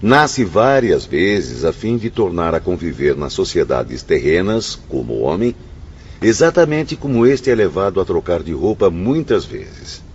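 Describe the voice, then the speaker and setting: A solemn spirit pupil in a radio drama, with a deep, dramatically varied voice. He declaims formally on a dull recording with faint noise behind. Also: very animated, unhurried, heavily acted. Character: João, solemn, encouraging male spirit pupil reading precepts.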